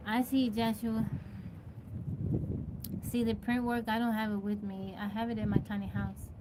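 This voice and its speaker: A woman's voice: fairly high pitch, flat delivery, normal speed. Texas woman chatting on a live stream, reading out comments, noisy background. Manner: chatty, greets viewers by name, answers questions. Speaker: livestream host.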